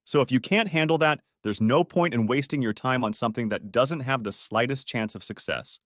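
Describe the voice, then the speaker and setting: A man's voice: mid-range voice, fairly quick, fairly bright tone, no echo, clean studio sound, close to an everyday voice. A man voicing a blunt, demanding woman in an audio story, emphatic and no-nonsense. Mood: stern, firm. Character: blunt, demanding woman, the narrator's new partner.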